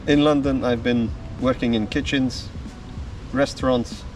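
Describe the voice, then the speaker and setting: A man, talking outdoors in the woods as a drumming vlogger, easygoing and unhurried. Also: medium pitch, fairly even intonation, a little mellow. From Slovakia. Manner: slow, easygoing storytelling with small pauses. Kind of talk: casual self-introduction to camera. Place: outdoors in woodland. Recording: distant mic with faint music behind.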